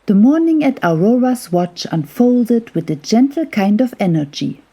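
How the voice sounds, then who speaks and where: A woman gently narrating a scripted children's bedtime story in a soothing, soft-spoken way. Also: a little high, very animated, moderate pace, slightly rough.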